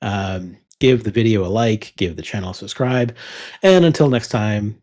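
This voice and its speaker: A man hosting his fountain pen channel, talking through a pen review in a relaxed way with many ums and uhs. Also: lowish voice, moderate pace. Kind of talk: casual product review.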